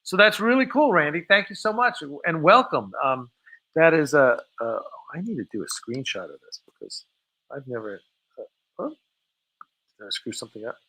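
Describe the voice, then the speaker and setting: A man hosts a live fan stream in a bright, forceful voice that sits a little high. He chats informally and rambles as he takes questions from viewers. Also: big pitch swings, normal speed, slight background noise, low-quality recording. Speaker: host of a fan film livestream. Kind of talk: casual live chat, answering viewer questions.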